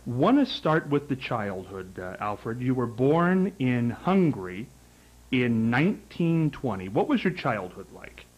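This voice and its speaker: A man's voice: mid-range voice, very animated, unhurried, poor audio. A man with an American accent hosting an interview show, speaking in formal introductions and direct questions. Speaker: talk show host, interviewer.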